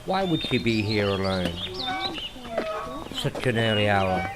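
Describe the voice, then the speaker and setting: Male horror narrator, lowish voice, theatrical and unhurried, with deliberate pauses. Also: very animated, very noisy. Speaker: story narrator. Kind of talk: dramatic scripted horror story narration. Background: music.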